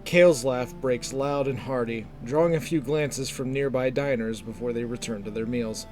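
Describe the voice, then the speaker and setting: Measured male fantasy narrator over music. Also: medium pitch, darkish tone. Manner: measured, descriptive storytelling. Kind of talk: scripted fantasy story narration.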